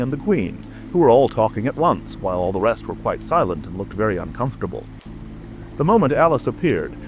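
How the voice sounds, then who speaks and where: A man's voice: medium pitch, poor audio. A man narrating a storybook as a dramatic, scripted audiobook reading. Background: music.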